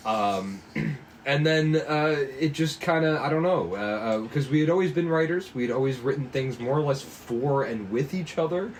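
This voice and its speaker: A man co-hosting in casual, rambling chat, circling haltingly through his explanations. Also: a little high, lively intonation, slight background noise, poor audio. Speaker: co-writer and co-host.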